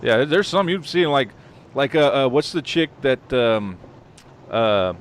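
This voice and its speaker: A man's voice: medium pitch, expressive, a little slow. A man with a North Texas accent hosting a casual podcast chat, rambling with fillers and restarts. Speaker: podcast host.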